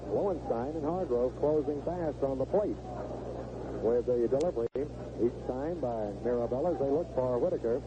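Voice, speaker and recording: A man doing live 1970s radio play-by-play of a baseball game, describing the action in short clipped phrases. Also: mid-range voice. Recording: noisy, narrow-band vintage AM radio broadcast.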